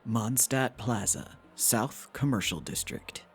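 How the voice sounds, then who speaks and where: A solemn narrator of fantasy legend, voiced by a man, delivers measured, dramatic storytelling. His voice is lowish and husky, with faint music behind the scripted lines. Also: big pitch swings, exaggerated voice. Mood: grave. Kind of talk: scripted narration for a fan dub.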